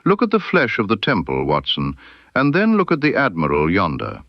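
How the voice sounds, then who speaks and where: A man voicing a keen, composed detective in an audiobook story, with precise, deliberate and quietly probing speech. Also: fairly low pitch, wildly swooping pitch, dry recording, lo-fi sound. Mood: absorbed, analytical. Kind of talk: audiobook character dialogue.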